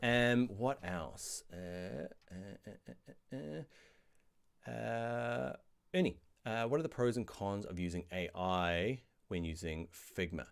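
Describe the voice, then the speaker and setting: A middle-aged man with a New Zealand accent, answering viewer questions in a casual live stream about design software. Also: lowish voice, slow pace, creaky, clear, resonant tone. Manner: chatty, reads viewer questions aloud, animated asides. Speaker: online design course instructor.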